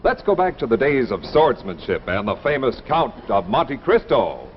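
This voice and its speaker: A male announcer delivers a vintage 1950s sales pitch with very lively, swooping intonation and emphatic phrasing. The recording is slightly dull with faint hiss. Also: normal speed. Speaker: commercial announcer. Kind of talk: 1950s scripted advertising narration.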